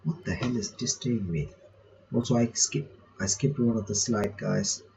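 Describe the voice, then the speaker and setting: A man's voice: on the low side, normal speed, creaky, dark tone. A man hosting a visual novel playthrough, giving informal commentary and reading the game's text aloud on a home microphone. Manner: informal, reacts aloud between read lines. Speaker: reaction channel host playing a visual novel. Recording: muffled lo-fi mic with faint noise.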